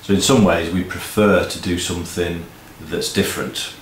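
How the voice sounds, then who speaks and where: Deep bloke co-hosting a casual chat, echoey office, faint noise behind. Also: male voice, steady tone, normal speed. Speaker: co-host of a music event promoters' video update. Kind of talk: casual two-person chat. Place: indoor office room. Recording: roomy echo, noticeable background noise.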